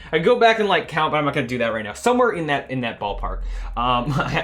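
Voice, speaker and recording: A man's voice: mid-range voice, a bit bright. A young man from Canada streaming his game development, chatting casually, rambling and laughing as he loses his train of thought. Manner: rambling, chatty, laughs often, trails off and restarts. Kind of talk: rambling casual livestream chat. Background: faint music.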